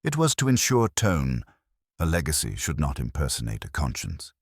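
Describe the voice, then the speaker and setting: Guy reading as a proper older society lady, measured and arch, formal village mystery style, dry close sound. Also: extremely low pitch, huge pitch swings, husky, dry recording, exaggerated voice.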